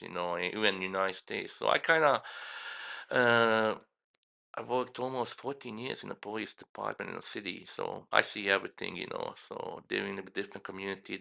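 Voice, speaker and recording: Gravelly middle-aged man. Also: low-pitched, pushed and bright. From Croatia. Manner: halting and rambling, with many fillers. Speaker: guest, police chaplain. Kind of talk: casual personal story at a roundtable.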